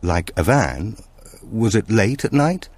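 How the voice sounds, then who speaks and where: Policewoman on enquiries read by a male narrator: pitch lifted, brisk, polite, questioning, audiobook crime drama. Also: big pitch swings, darkish tone, husky, no echo, full character voice. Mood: businesslike, polite. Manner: businesslike, questioning.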